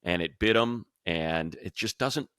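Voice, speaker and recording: A man's voice: low-pitched, measured pace, fairly bright tone, clear, resonant tone, no room sound, clean studio sound. A man hosting an unscripted sports talk show, speaking emphatically and repeating phrases to drive points home.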